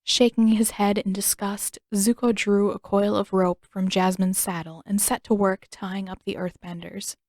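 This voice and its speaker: A woman's voice: medium pitch, flat delivery, normal speed, hardly any pauses, darkish tone, no echo. Woman narrating fiction, dry close sound. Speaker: audiobook narrator.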